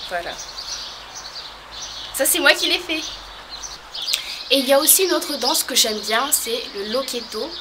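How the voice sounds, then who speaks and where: A young woman from French Guiana, talking casually to camera as a vlogger, hesitant and full of pauses. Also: high-pitched, lively intonation, heavy background noise. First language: a local creole or regional language. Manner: hesitant, many pauses and fillers.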